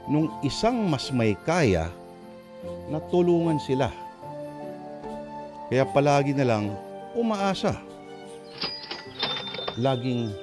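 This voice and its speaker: Radio drama host: male, dramatic swooping delivery, deliberate phrasing, music underneath. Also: mid-range voice. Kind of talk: dramatic storytelling introduction.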